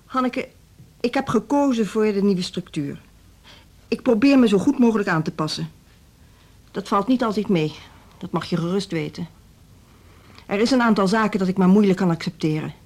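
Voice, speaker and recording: A woman playing a proud, stern middle-aged music school director in a radio drama, speaking in formal, measured sentences. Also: mid-range voice, a little mellow, natural voice. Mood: stern, aggrieved. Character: proud, stiff older female music school director, easily slighted.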